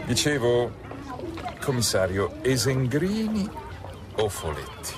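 A man's voice: medium pitch, wildly swooping pitch, slow. An actor playing a courteous male public prosecutor in a radio drama, speaking expressively. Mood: troubled, confiding. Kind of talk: Italian radio drama acting.

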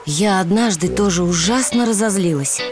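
A woman playing a gentle, understanding mother cow in a children's cartoon, talking calmly and softly to her children. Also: mid-range voice, normal speed, close to an everyday voice. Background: music.

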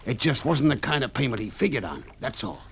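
A man's voice: medium pitch, very animated, brisk, fairly bright tone. A man playing a cold-blooded, cocky gangster on the run in an old-time radio crime drama, slangy and dismissive. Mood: cocky, callous. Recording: old noisy radio recording with clicks.

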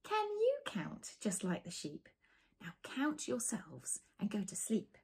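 A woman voicing a weary, patient sheepdog who gently coaxes farm animals to bed in a children's storybook. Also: medium pitch, wildly swooping pitch, slow, vocal fry, slightly rough, in a whisper, heavily acted. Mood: weary, hushed. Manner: gentle, coaxing. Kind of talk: children's storybook read-aloud.

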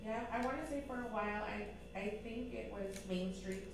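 A woman's voice: medium pitch, moderate pace, vocal fry. A woman talking casually in a meeting discussion. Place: large indoor conference room, far microphone. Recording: distant echoey room, noisy background.

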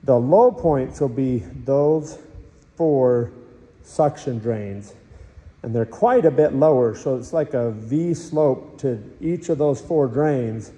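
A man's voice: medium pitch, slow, mellow, distant mic. Man explaining his build in an echoey concrete shell. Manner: explanatory, technical, talks straight to the camera. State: tired late at night. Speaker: builder and channel host. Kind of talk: casual build-progress vlog. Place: inside a large empty concrete pool shell, indoors. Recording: echoey concrete space with long reverb.

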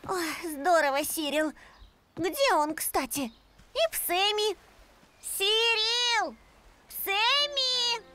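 A very high fussy housekeeper. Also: female voice, animated, pushed and bright, exaggerated voice. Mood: worried. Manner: fussy, exclaiming, drawn-out. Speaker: dubbing actor. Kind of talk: cartoon dub acting. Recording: music bed under dialogue.

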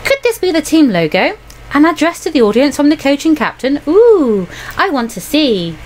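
Woman putting on a high-pitched, haughty young girl. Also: very animated, moderate pace, a little mellow, slight background noise. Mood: curious. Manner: prim, indignant, dismissive. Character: haughty, naive young student girl from a utopia.

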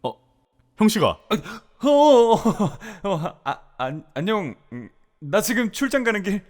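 A man's voice: high voice, sing-song, slow, heavily acted. An actor playing a shy young male office worker who stammers and dodges conversation, in an amateur audio drama. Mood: flustered. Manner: stammering, evasive.

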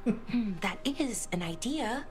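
An earnest elven scholar in a fantasy game. She thinks aloud haltingly, with very expressive intonation and an unhurried pace. Faint music plays behind her. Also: fairly high pitch. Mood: thoughtful. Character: earnest, curious, hesitant elven woman scholar.

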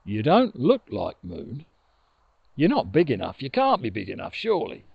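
Dependable old-friend Badger for a storybook male performer: plain-spoken, doubting, halting, animated pitch. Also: gravelly, slightly rough, no echo, muffled recording, exaggerated voice. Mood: sceptical.

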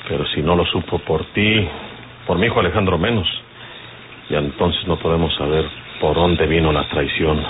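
Deep male Mexican outlaw, played by an actor, wary fugitive in a radio western, tinny noisy old recording. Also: fairly even intonation, moderate pace, lightly acted. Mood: suspicious.

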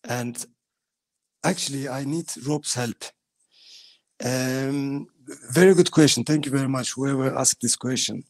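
A man's voice: medium pitch, a little slow, long pauses. A man with a Turkish accent, talking earnestly in broken English on a video call from a small bathroom at home. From Turkey. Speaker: guest giving testimony. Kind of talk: casual video call chat.

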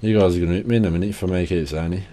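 A young man commentating casually over his game. He is tired and a little hoarse from a cough, chatty and sweary. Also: sits low, rapid-fire, dark tone. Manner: sweary, chatty, self-deprecating. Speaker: gaming channel host.

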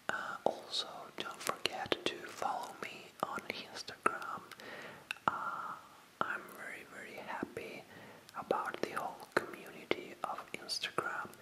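Breathy whisper, unhurried pace, hesitant phrasing, repeated words, ASMR creator talking to viewers. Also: low-quality recording. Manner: hesitant, halting delivery with repetitions.

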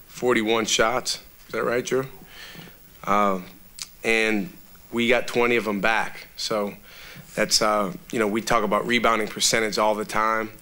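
A man in his thirties, a college basketball coach, answering reporters at a post-game press conference in a steady, measured way with many fillers, on a table microphone. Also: fairly low pitch. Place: press room before a sponsor backdrop.

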